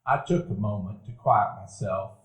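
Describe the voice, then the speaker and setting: A man narrating a stage radio play as a grown man recalling his boyhood, in a measured, reflective telling. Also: fairly low pitch, lightly acted. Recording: roomy event hall.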